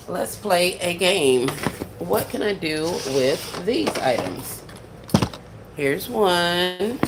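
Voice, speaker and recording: A soft-spoken woman, chatting casually with viewers on a livestream while she works on gift baskets. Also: medium pitch, background noise. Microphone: phone set down while working.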